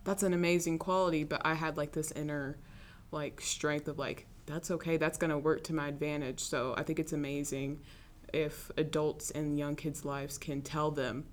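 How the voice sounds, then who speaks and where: A woman hosting a podcast interview, loud and chatty, with a lot of filler words. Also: low voice, little pitch movement, moderate pace, a little hiss or hum. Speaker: podcast host.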